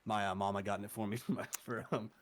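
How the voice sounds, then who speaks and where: A man's voice: lowish voice, fairly even intonation, quick pace, slight background noise. Young adult male guest, horror narrator, laid-back and profane, casual chat. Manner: laid-back, swears often, laughs a lot.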